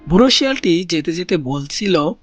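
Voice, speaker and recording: A man's voice: high voice, very animated, moderate pace, faint music behind. A man narrating a scripted children's story as the storyteller.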